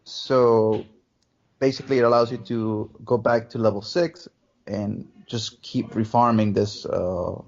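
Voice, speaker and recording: A man co-hosting a casual gaming podcast, telling animated stories full of swearing. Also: fairly low pitch, unhurried, gravelly. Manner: animated storyteller, swears a lot, acts out friends' lines. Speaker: podcast co-host. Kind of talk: casual chat about video games. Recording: dull lo-fi podcast audio.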